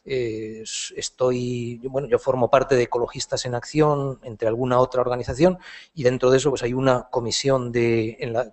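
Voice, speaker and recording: A man in his fifties from Spain, a philosophy professor answering audience questions after a lecture, thoughtful and halting. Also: medium pitch, darkish tone. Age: 50s. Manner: thoughtful, halting, hedged phrasing.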